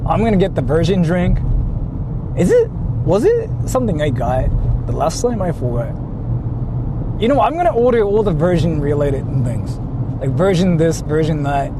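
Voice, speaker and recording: A man's voice: fairly high pitch, very animated, moderate pace, long pauses. A young man live streaming while he drives, chatting to his viewers in a rambling, filler-heavy way. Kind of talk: casual chat to a live audience while driving. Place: inside a moving car. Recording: loud car road noise. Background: loud road and engine noise.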